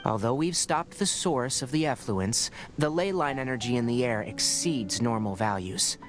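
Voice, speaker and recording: A man voicing a calm, scholarly young forest ranger who speaks in a measured, explanatory, matter-of-fact way in a video game. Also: mid-range voice, close to an everyday voice. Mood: firm. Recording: game soundtrack behind. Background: game music.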